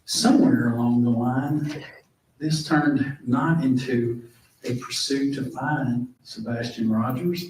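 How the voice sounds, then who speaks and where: A man making formal courtroom remarks in a stern, deliberate way. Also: mid-range voice, fairly even intonation, darkish tone, a little hiss or hum. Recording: very echoey room, distant microphone.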